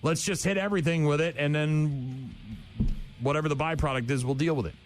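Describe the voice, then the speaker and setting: A middle-aged man hosting a morning radio show, telling stories in blunt, joking banter that gets animated. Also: a little high, brisk, faint music behind. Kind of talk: casual on-air banter.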